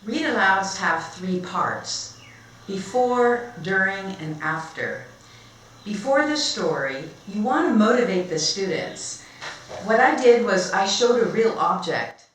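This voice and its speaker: A woman teacher demonstrating read-aloud storytelling and instruction in simple, expressive phrasing. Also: mid-range voice, takes it slowly, a little hiss or hum. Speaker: teacher trainer. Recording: echoey room.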